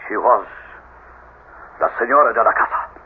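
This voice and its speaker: An actor playing a stern, loyal older estate caretaker with a Spanish accent, terse and ominous, in a radio mystery. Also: male voice, medium pitch, normal speed, close to an everyday voice. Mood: solemn. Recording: tinny, hissy old radio recording.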